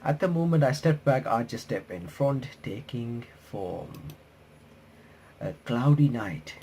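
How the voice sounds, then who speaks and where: A man's voice: mid-range voice, animated, dark tone, slight background noise. A man reading visual novel text aloud as a playthrough host, with casual asides, often stumbling and restarting his sentences. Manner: halting, stumbles over words and restarts.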